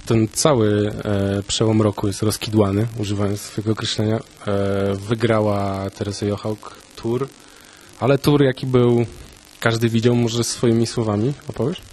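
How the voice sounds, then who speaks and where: A man's voice: fairly low pitch, little pitch movement, moderate pace, a little mellow. A man interviewing an athlete, asking questions conversationally, joking now and then and humming as he gathers his thoughts. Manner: joking, hesitant with filler hums. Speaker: sports journalist interviewer.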